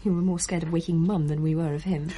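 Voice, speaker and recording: Low, husky young Englishwoman. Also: fairly even intonation, fast, mellow. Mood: weary. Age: young adult. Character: frank, uneasy young daughter recounting a haunting. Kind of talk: conversational radio play narration.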